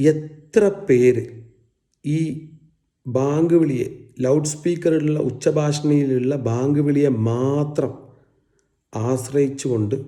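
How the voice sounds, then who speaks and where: Dark, mellow-voiced man. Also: mid-range voice. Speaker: vlogger giving commentary. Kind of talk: informal opinion commentary to camera.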